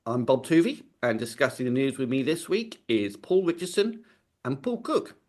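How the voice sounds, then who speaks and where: A man hosting an industry news podcast, leading the discussion in a measured way. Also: medium pitch, expressive. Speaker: podcast host.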